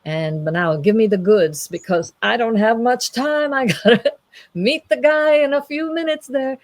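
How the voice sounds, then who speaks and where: Woman acting out a flustered, hurried king. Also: a little high, dry recording, voice pushed up, lightly acted. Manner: hasty, flustered, colloquial. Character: hurried, exasperated king, casual and bumbling. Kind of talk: informal comic oral storytelling.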